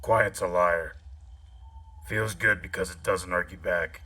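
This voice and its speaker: A man's voice: deep voice, steady tone, takes it slowly, sharp tone, heavily acted. A man acting a guarded, battle-worn soldier in a sci-fi audio drama, terse and brooding.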